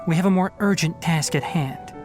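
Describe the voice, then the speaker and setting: A man voicing a young forest ranger scholar in a video game, explaining things calmly and at a measured pace. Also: on the high side, over music, natural voice.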